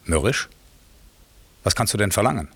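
A stern master magician declaims in measured, imperious verse. The sound is close and dry, in the manner of 1960s radio drama. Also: male voice, fairly low pitch, animated, gravelly, fairly bright tone, husky, no echo, full character voice. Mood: stern, scolding. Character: stern, commanding male magician master of spirits.

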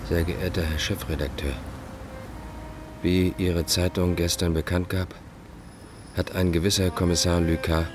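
A low-voiced, proud fugitive clerk. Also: male voice, little pitch movement, noisy background. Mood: coldly self-important. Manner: formal, measured, pompous. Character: fugitive ex-clerk, proud, cynical, polite, unravelling man. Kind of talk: German radio play acting.

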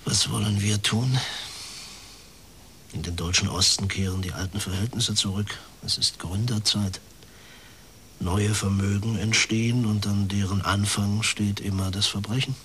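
Low-voiced, suave older clergyman. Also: steady tone, a bit bright, a little hiss or hum. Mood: unctuous, cynical. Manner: measured, formal, unctuous delivery. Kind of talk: radio crime drama acting.

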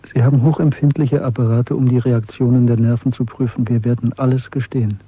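Radio drama dissident male actor: husky, sombre, fatalistic, mid-pitched, close dry studio sound. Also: fairly even intonation, a little fast, no echo, lightly acted. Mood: resigned, sombre. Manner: sombre, restrained, terse. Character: weary, fatalistic male dissident, resigned to doom. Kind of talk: German radio drama acting. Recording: dry, slightly dull studio radio recording.

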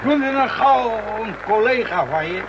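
An actor playing an earnest, excitable, eccentric man in a Dutch radio play, stammering and repeating his first words. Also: very high voice, moderate pace, highs cut off. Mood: fussy, eager. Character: earnest, excitable, eccentric male draughts player. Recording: noisy old radio play, outdoor ambience.

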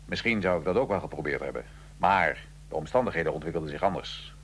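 A man acting in a radio drama as a defiant, wry nineteenth-century Italian revolutionary prisoner, telling his story gravely and with irony. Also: extremely low pitch, animated, fast, a little hiss or hum. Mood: grave, wry recounting.

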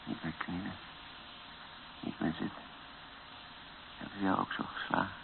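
An actor playing a battered bishop in pain, speaking weakly and haltingly in a radio drama. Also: male voice, low-pitched, lively intonation, gravelly, heavily acted. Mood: pained, weary. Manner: weak, halting, subdued. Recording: muffled lo-fi radio recording with hiss.

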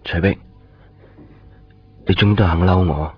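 Deep-voiced, hesitant Hong Kong boyfriend. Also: male voice, steady tone, a little slow, husky. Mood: uneasy. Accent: Hong Kong Cantonese. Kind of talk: 1990s Cantonese radio drama acting. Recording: dull 1990s radio recording, music behind.

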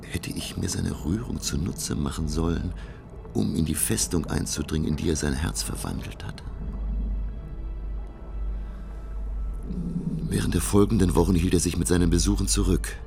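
A man acting a reflective gentleman professor, narrating a radio drama in a measured, hushed, literary way. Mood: pensive. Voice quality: deep, gravelly. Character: reflective, observant gentleman professor recounting his undersea voyage. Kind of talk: scripted first-person radio drama narration. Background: prominent music.

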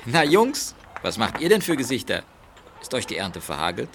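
A man's voice: medium pitch, huge pitch swings, moderate pace, background noise. A man playing a middle-aged, jovial and sly junk dealer uncle in a German audio drama, speaking with folksy politeness. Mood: jovial, curious. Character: jovial, sly uncle running a junkyard business.

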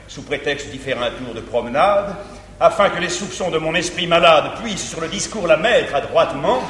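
An actor playing a jealous, controlling middle-aged guardian, declaiming verse pompously with sudden swells. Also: male voice, a little high, fairly bright tone. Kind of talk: 1950s stage verse comedy. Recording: roomy theatre recording with hiss.

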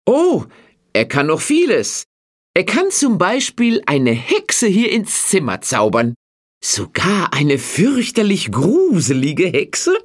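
Storybook role: sly, cheeky young man, acted by a male narrator, theatrical and teasing, lively melody in the voice. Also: mid-range voice, slow pace, breathy edge, pitched above the performer's own voice, exaggerated voice. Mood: sly, amused.

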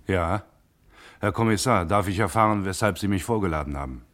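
A man's voice: low voice, brisk, a bit bright, soft background music. An actor playing an uneasy male car mechanic in a German radio crime drama, hesitant and hedging under questioning. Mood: wary, evasive.

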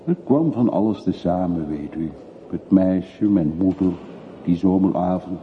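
An actor playing a reserved, reflective middle-aged emigrant returning home in a radio play, speaking in a measured, reticent way. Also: male voice, low-pitched. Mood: wistful. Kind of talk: radio drama acting. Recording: muffled, noisy old radio play recording.

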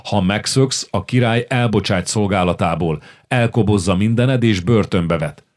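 A man voicing a calm, cunning royal chancellor who speaks in a measured, persuasive way. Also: lowish voice, close to an everyday voice.

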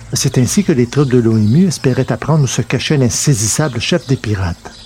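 A man's voice: mid-range voice, warm tone, slight background noise. A man narrating a science fiction serial from a script, as a storyteller.

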